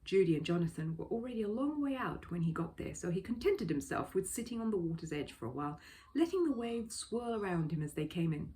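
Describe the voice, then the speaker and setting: Animated woman reading a children's story. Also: fairly low pitch, a little fast, a little hiss or hum. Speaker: storybook reader. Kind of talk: expressive children's story narration.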